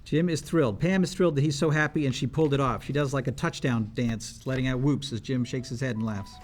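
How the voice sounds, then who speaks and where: A man's voice: medium pitch, fast talker. A man reading stage directions aloud at a script table read, as scripted narration.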